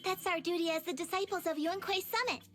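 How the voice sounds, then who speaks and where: A very high, girlish voice for a young tiger-girl martial arts disciple in a game. She is earnest and heroic, declaring her sense of justice with eager, formal politeness. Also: fairly even intonation, fast, a bit bright, soft background music. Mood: earnest, polite. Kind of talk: video game voice acting.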